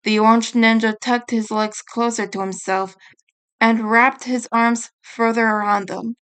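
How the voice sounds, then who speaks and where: Woman reading fanfic out loud, kinda high voice, takes it slow. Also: steady tone, clear, resonant tone. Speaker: fan fiction reader. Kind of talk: scripted story narration.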